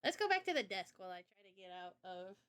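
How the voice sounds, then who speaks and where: A woman's voice: mid-range voice, big pitch swings, fast talker, fairly bright tone, smooth. A woman streamer and game developer chatting casually with her audience in an informal, slangy way. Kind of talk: casual livestream chat.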